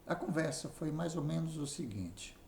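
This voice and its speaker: A man with a Brazilian accent narrating a written memoir aloud in an expressive reading style. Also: fairly high pitch, normal speed, creaky. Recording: roomy echo, faint background noise.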